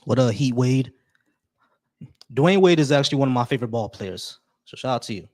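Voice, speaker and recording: Dude running a live stream, easygoing, keeps restarting his words, middle pitch. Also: expressive, fairly quick. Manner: laid-back, shout-outs to viewers, many restarts. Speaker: livestream host. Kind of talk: casual live stream chat.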